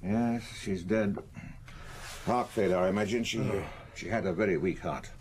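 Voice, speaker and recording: A man acting a country doctor in an old radio drama. He is brusque and matter-of-fact in a lowish voice, with faint noise and ambience around him. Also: moderate pace, darkish tone, lightly acted. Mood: brisk, offhand. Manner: brusque, offhand, hesitating.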